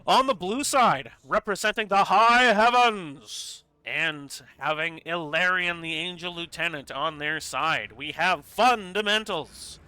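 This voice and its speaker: A man casting a live esports match with play-by-play commentary, sounding congested from a stuffy nose and rising to excited bursts during fights. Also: high-pitched, takes it slowly, hardly any pauses, smooth, no room sound, rough recording. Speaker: esports caster.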